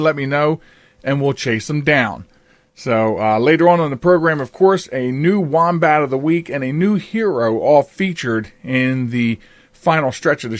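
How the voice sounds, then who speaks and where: Male podcast host, highish pitch, casual interviewing, halting delivery, very dry recording. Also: no room sound. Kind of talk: casual radio-style interview chat.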